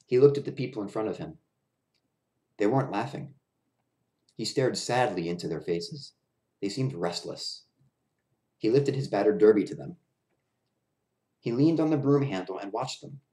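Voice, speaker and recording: A man's voice: fairly low pitch, expressive, moderate pace, pauses often, mellow. A man in his thirties reading a children's story aloud in a small room at home. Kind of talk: children's picture-book read-aloud. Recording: roomy echo of a bare room.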